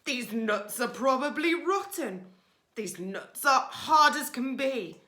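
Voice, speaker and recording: A woman voicing a boastful, greedy male rat highwayman from a rhyming storybook, with loud theatrical commands. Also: lively intonation, slow, full character voice. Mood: snarling, demanding. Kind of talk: rhyming children's storybook villain.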